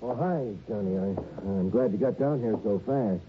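Low, gloomy man played by an actor. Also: lo-fi sound, close to an everyday voice. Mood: gloomy, subdued. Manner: subdued, halting. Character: gloomy, troubled insurance man, old friend. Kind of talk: 1960s radio drama acting. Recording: thin, noisy old radio broadcast.

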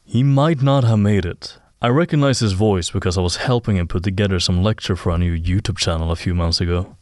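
A fairly low, dry-sounding male voice, an actor playing a keen audio expert in an audio drama. He is thoughtful and slightly hesitant as he works through a puzzle. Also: a little fast, a little mellow, no room sound, lightly acted. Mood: explaining.

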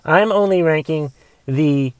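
A man with a raspy voice from a cough, rambling casually and with some swearing as he reviews a movie. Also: fairly high pitch, takes it slowly, dry recording. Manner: rambling, profane, wondering aloud.

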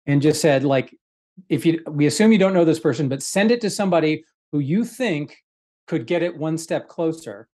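A man's voice: a little high, animated, normal speed, darkish tone. A man talking casually and explaining things as a quiz panelist, in an erudite, wry manner.

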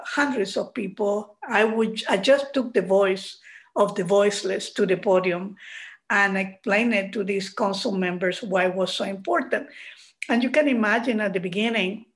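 An older woman with a heavy Chilean accent, a guest in an interview, telling her story earnestly with many fillers and restarts. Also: medium pitch. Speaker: guest, mural advocate. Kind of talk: casual interview.

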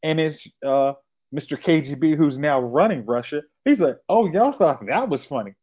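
A man's voice: fairly high pitch, expressive, normal speed, slightly rough. Man on a tinny phone-like line. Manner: rambling, restarts, explaining at length. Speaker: podcast co-host. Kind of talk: casual podcast chat.

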